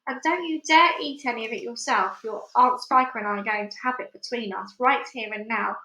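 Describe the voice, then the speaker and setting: A woman voicing a fat, greedy, domineering storybook aunt who exclaims and demands in an excitable, disbelieving way. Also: fairly high pitch, fairly quick. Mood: bossy. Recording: echoey room.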